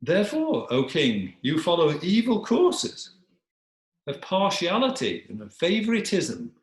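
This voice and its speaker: A man's voice: high-pitched, wildly swooping pitch, measured pace, echoey room, pitched above the performer's own voice, exaggerated voice. A man voicing a wise, bold storybook dog who courteously and formally admonishes a king. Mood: solemn reproach.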